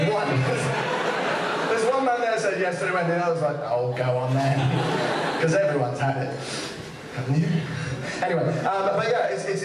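Boyish young comic in an echoey club. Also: male voice, sits high, very noisy. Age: young adult. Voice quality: light, boyish voice. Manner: rambling, self-mocking, slips into put-on voices. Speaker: stand-up comedian. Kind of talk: stand-up comedy set. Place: small comedy club before a seated audience. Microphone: handheld stage mic. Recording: echoey club recording from a distant camera. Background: audience laughter.

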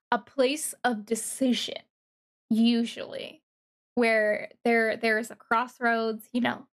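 A woman giving casual, excited reaction commentary as a streamer, thinking aloud haltingly with interjections. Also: a little high. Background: music from the watched video.

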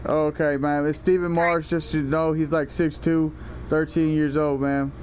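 A man's voice: fairly high pitch, little pitch movement, moderate pace, background noise. A young man making a prank phone call in casual, slangy talk, rambling and stammering, with some swearing. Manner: rambling, foul-mouthed, stammering. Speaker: prank caller and video host.

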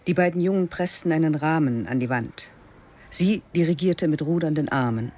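A man narrating a radio drama in a hushed, often half-whispered, dry manner. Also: a little high, moderate pace.

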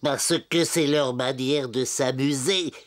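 A man's voice: mid-range voice, steady tone, slow, natural voice. A man voicing a sly, bossy, mischievous goblin schemer in a children's cartoon, with theatrical delivery. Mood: gleeful scheming. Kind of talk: animated children's cartoon dubbing.

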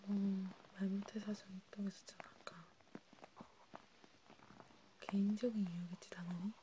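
A woman whispering in a breathy, hushed, intimate way, chatting casually as in an ASMR roleplay. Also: medium pitch, moderate pace. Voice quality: breathy, mostly unvoiced whisper. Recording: steady background noise.

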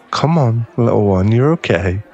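A man playing a young werewolf bar owner in an ASMR boyfriend roleplay, teasing but secretly tender, with a halting, stammering delivery. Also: lowish voice, animated, a little hiss or hum. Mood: soothing, gentle.

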